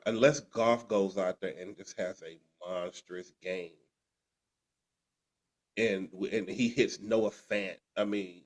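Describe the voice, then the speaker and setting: Congested man recapping fantasy football. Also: fairly low pitch. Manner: rambling, restarts and "uh" fillers. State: sick, congested. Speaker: podcast co-host.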